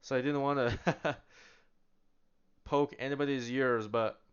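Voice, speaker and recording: Man, casual product demo, smooth voice, rhetorical questions, chatty, slightly muffled lo-fi audio. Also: mid-range voice, fairly even intonation, a little slow. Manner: explains with rhetorical questions he answers himself; chatty, with small laughs and restarts. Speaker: product demonstrator. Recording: slightly dull lo-fi sound.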